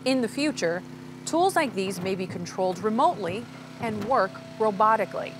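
A woman host reading a scripted science narration, bright and inviting, asking questions of the viewer. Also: medium pitch, lively intonation, normal speed, background noise.

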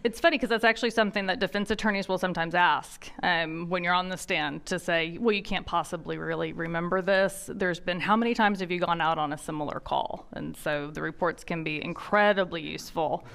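Co-presenting woman with a lowish voice. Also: fairly even intonation, a little fast, vocal fry, few pauses, fairly bright tone. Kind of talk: classroom training. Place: large conference training room.

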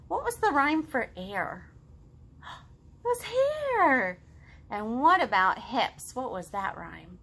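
A woman in her thirties hosting a children's storytime, reading and chatting to young kids in an expressive, sing-song, exaggerated way. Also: fairly high pitch. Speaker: children's librarian, storytime host. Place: living room at home, indoors.

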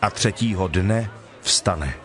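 A man playing Jesus as a solemn, authoritative teacher in a dramatized scripture reading, speaking in measured declarations. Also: deep voice, fairly bright tone, some chatter behind. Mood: grave. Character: Jesus, solemn teacher telling parables and instructing followers. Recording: music behind the voice.

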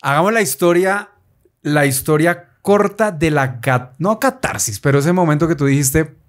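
A man in his thirties with a Colombian accent, hosting a podcast in relaxed, slangy casual chat, recorded on a close desk mic in a small studio. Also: fairly high pitch, normal speed. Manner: relaxed, slangy, laughs often. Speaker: podcast host.

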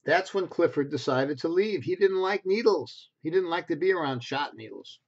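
Older guy in his 60s reading a kids book out loud, expressive, highish voice, kinda muffled lo-fi webcam audio. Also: moderate pace. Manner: expressive, simple phrasing aimed at young children. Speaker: storyteller reading a picture book to preschoolers. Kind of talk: read-aloud children's storytelling with asides. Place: small bedroom at home, indoors. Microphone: computer webcam at desk distance. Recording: dull lo-fi webcam sound.

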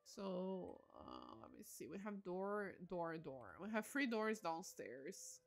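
A woman's voice: on the low side, huge pitch swings, slow pace, creaky, faint music behind. A woman livestream host giving casual game commentary, musing aloud with enthusiastic exclamations.

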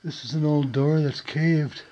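A man's voice: on the high side, fairly even intonation, unhurried, warm tone, muffled recording. A man exploring an abandoned mine, casually thinking aloud about what he finds. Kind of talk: casual narration while exploring. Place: inside an abandoned underground mine.

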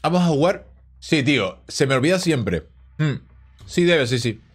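A man's voice: on the high side, moderate pace, husky. Chatty man streaming a card game. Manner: relaxed, chatty, reads and answers chat. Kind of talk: casual live-stream chat while playing. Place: small home room, indoors. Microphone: headset.

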